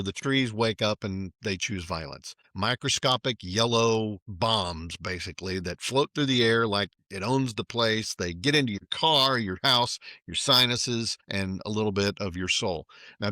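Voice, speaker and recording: Low-voiced man, wry and forceful. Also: no room sound, studio quality. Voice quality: naturally low voice. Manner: tongue-in-cheek, conversational. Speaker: host. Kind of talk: wry monologue.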